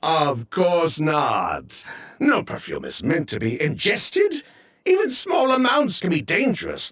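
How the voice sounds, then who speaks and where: Game villain type: evasive, husky-voiced swindler, wildly swooping intonation, talky and theatrical. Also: male voice, on the high side, fairly bright tone, exaggerated voice. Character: evasive ex-convict perfume dealer, smooth-talking schemer. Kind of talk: dramatic video game dialogue.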